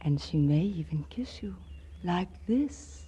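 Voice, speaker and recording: A woman's voice: fairly low pitch, expressive, slow pace. An actress playing a flirtatious, coaxing big-city woman in a 1940s radio comedy, with a theatrical, teasing delivery. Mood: seductive. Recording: noisy 1940s radio broadcast recording.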